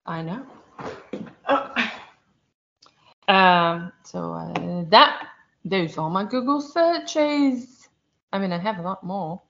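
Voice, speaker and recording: A woman co-hosting a casual chat, talking in a rambling, lively way about her week as a church school teacher. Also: medium pitch, slow, vocal fry, long pauses. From outside the United States. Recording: dull lo-fi sound.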